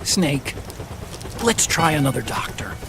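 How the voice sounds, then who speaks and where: An actor playing a worried, earnest male scientist comrade in a video game, explaining things carefully and gently. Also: on the high side, sing-song, a little slow, music in the background. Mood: somber. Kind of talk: cinematic video game acting. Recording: loud noise and effects behind.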